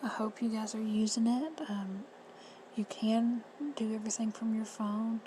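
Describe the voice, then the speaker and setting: A woman with a hoarse, husky voice giving plain, friendly step-by-step instructions in a casual how-to. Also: on the high side, fairly even intonation, unhurried, mellow. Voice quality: whispery, husky. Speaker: reseller tutorial host. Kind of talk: casual screen-recorded how-to. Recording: noisy home recording.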